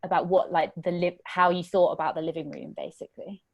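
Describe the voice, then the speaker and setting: A woman hosting a casual interview over a video call, asking questions in a relaxed way with fillers. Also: lowish voice, a little fast, lo-fi sound. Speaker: host.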